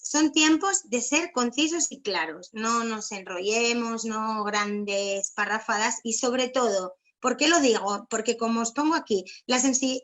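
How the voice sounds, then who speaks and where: Higher-pitched Spanish woman teaching a webinar. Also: a bit bright, rough recording. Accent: Spanish from Spain. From Barcelona, Spain. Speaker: philologist giving a writing workshop. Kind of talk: informal online webinar presentation.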